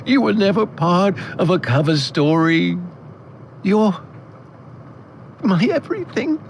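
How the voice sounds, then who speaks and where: A man playing a loving, gentle older father in an audio drama, speaking tenderly and earnestly. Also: high-pitched, animated, slow pace, a little hiss or hum, pitched above the performer's own voice, exaggerated voice. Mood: tearful, loving. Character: loving, gentle widowed father nearing old age.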